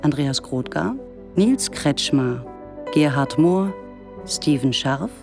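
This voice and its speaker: A woman's voice: low voice, takes it slowly, creaky. A woman announcer reading radio drama titles and credits in a formal, scripted style. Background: music.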